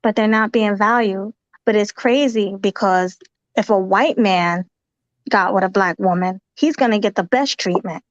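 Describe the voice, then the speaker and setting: A woman's voice: medium pitch, moderate pace, no echo. A woman hosting a casual chat, talking conversationally and laughing between her points. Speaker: host. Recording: dull, lo-fi audio.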